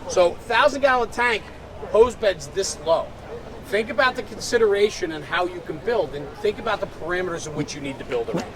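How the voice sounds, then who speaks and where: A man's voice: sits high, animated, fairly quick. A man hosting an unscripted equipment walkaround, enthusiastic and emphatic, often checking in with "right?". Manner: emphatic, engaged, peppers talk with "right?". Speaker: host of a fire apparatus walkaround. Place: outdoors at a busy convention. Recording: outdoor crowd and vehicle noise.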